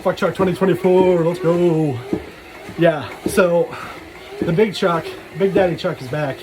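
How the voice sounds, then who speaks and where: A man's voice: high-pitched, steady tone, unhurried, noisy background. A man giving a casual, rambling solo vlog monologue in frank, slangy, sweary talk. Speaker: solo vlogger.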